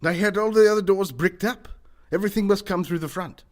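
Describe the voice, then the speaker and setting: A pompous, wealthy eccentric gentleman played by a man, pitched fairly high. He speaks formally and theatrically, like the lord of the house in a comic radio mystery. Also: brisk, natural voice. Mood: alarmed. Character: pompous wealthy eccentric businessman and hunter, male. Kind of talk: comedic old-time radio mystery acting.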